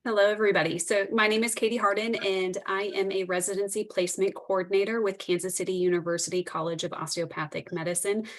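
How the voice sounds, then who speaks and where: A woman from Minnesota introduces herself briefly and cheerfully on a video call. Her voice sits at a medium pitch and sounds lively. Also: quick pace, few pauses. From Minnesota, USA. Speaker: residency placement coordinator.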